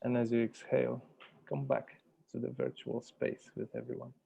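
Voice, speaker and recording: A man facilitating a workshop, speaking in a measured, deliberate way, like someone guiding a group through an exercise. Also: medium pitch, level tone, vocal fry, dark tone, slightly rough, dull audio. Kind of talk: guided meditation and workshop facilitation. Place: virtual workshop over video call.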